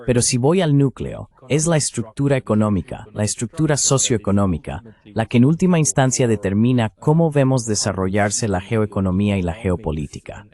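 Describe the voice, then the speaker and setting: A man's voice: lowish voice, lively intonation, fairly quick, dark tone. A man moderating a webinar panel in a translated voice-over, summing up and handing over to speakers.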